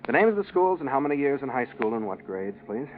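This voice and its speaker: Through thin, tinny vintage radio sound comes a man acting as a kindly male port official. His delivery is brisk and reassuring, with lively swings of pitch, in 1940s radio acting style. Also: natural voice. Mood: businesslike. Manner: reassuring, businesslike. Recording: band-limited old 1940s radio recording.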